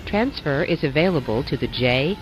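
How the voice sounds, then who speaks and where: An automated-sounding subway announcer reading stops and transfers in flat, measured phrasing. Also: mid-range voice, slight background noise. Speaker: train announcer. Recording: dull lo-fi audio.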